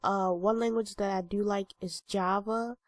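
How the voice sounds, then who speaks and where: A woman's voice: medium pitch, fairly even intonation, unhurried, no room sound, clean studio sound. A young woman in her twenties with a stuffy, congested nose, chatting casually to her viewers with lots of ums and uhs. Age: 20s. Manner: rambling, many ums and uhs. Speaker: vlogger. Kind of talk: casual talking-head vlog.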